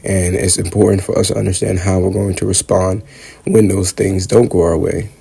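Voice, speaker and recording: A man's voice: little pitch movement, moderate pace, mellow. A young man in his twenties talking casually to camera, congested from a cold, reflecting on student life. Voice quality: husky, low gravelly voice. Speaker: vlogger, dental student.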